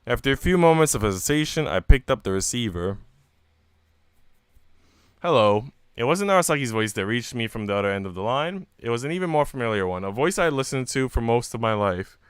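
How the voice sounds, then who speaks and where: A man's voice: mid-range voice, expressive, quick pace, few pauses, smooth, no echo, studio quality. A man live streaming, reading a visual novel aloud and dropping into casual, slangy asides to his chat. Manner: informal, slangy, chatty.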